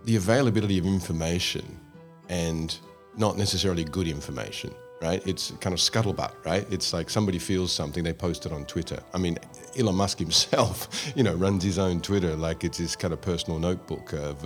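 A man's voice: sits low, fairly quick, soft background music. A man from Australia hosting an interview, chatty and telling stories as he asks questions. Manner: chatty, storytelling. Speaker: interview host. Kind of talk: conversational interview.

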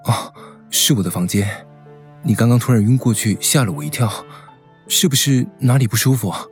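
An actor playing a gentle, devoted and protective young man in a romantic radio drama, speaking earnestly. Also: medium pitch, moderate pace, soft background music, natural voice. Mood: concerned. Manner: tender, earnest, caring.

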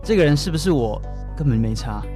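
A man's voice: on the high side, expressive, quick pace, dark tone, faint music behind, lightly acted. A man acting as a resigned, wounded bartender in a modern urban radio drama. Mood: bitter, hurt. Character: resigned, wounded male bartender about to close up. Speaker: actor.

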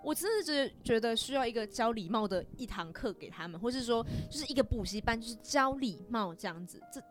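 Lively young Taiwanese woman hosting a podcast. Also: a little high, quick pace, soft background music. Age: young adult. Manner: expressive, chatty, giggly. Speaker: podcast host. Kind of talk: casual chat.

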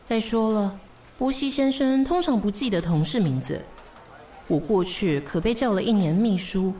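A woman's voice: mid-range voice, expressive, normal speed, warm tone, slight background noise, lightly acted. A woman playing an exasperated, dryly bossy office secretary in a radio drama. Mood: brisk, matter-of-fact. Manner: dry, bossy, world-weary. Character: brisk, exasperated, sardonic female office secretary.

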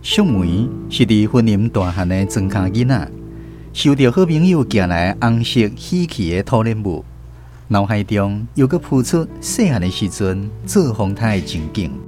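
A Taiwanese-accented man hosts and narrates a scripted radio story. His voice is fairly low with lively intonation, over faint plucked guitar. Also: measured pace. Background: soft plucked-guitar music.

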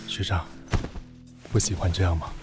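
A man playing a stoic, devoted, quietly teasing younger police partner, deadpan and softly spoken, in an audio drama. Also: low-pitched, moderate pace, music in the background, natural voice. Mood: tender coaxing. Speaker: voice actor.

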